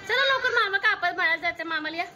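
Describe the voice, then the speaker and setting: A woman's voice: extremely high pitch, animated, fast talker. A woman with a rural Maharashtrian accent narrating a casual home vlog, chatting and giving instructions to children and family. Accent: rural Maharashtrian Marathi. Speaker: family vlogger. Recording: clear background noise, home recording.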